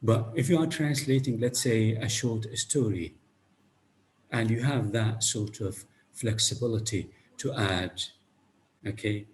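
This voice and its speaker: A low-pitched man from Iraq lectures over a video call, walking students through ideas one step at a time. He poses questions and answers them himself, checking in with a steady "okay?". A faint hiss of noise sits under his voice. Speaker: guest lecturer. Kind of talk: online academic lecture with questions.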